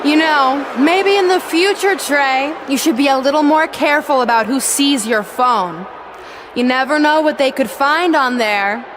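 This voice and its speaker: A woman's voice: high-pitched, a little slow, noisy background. A woman playing a vain, scheming wrestling villain in a video game, giving a smug, mocking, theatrical promo.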